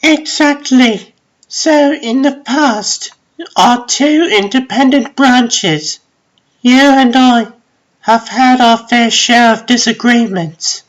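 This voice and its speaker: A clever young woman of science , acted for a comic dub. She has a higher voice and speaks slowly, with earnest, formal precision and full composure. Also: muffled recording. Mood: composed, confident.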